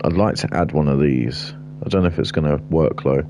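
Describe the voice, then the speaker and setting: A man with a deep voice giving casual, rambling gameplay commentary while he builds, blunt and chatty. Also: a little fast, background noise. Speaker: gaming streamer.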